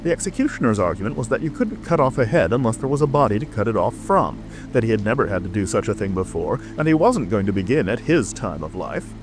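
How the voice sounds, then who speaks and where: A man's voice: fairly low pitch, big pitch swings, fast talker, hardly any pauses. A man narrating a storybook as a dramatic, scripted audiobook reading. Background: music.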